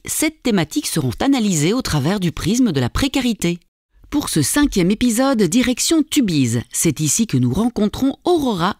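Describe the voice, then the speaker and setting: A woman narrating a documentary podcast in a measured, scripted way. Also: lowish voice, darkish tone, no echo, clean studio sound.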